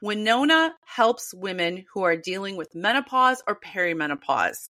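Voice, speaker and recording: Animated woman in her fifties. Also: mid-range voice, moderate pace. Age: 50s. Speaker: podcast co-host. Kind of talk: casual podcast chat and read ad.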